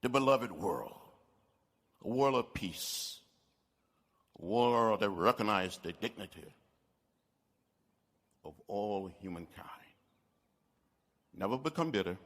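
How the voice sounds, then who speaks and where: A man speaks very slowly with a bright, forceful voice, as an orator would. He gives solemn exhortations in short, emphatic phrases, each one deliberately placed. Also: fairly low pitch, lively intonation. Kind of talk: oratorical speech, inspirational exhortation.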